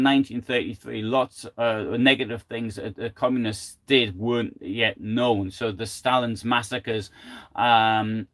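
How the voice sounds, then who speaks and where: A man's voice: medium pitch, fairly bright tone. An older man giving a live, unscripted history talk from home, thinking aloud with many fillers and restarts. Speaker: history presenter.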